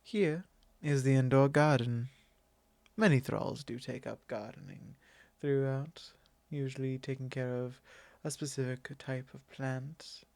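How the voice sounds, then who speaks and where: A man's voice: medium pitch, fairly even intonation, no room sound, natural voice. A man playing a possessive, courtly vampire lord in an ASMR roleplay, speaking formally and condescendingly in an intimate monologue. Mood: genteel, indulgent. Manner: formal, unhurried, condescending, with soft chuckles.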